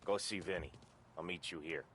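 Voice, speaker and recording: An actor playing a gruff, earnest mob enforcer in a video game cutscene. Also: male voice, normal speed, close to an everyday voice. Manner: gruff, low-key and earnest.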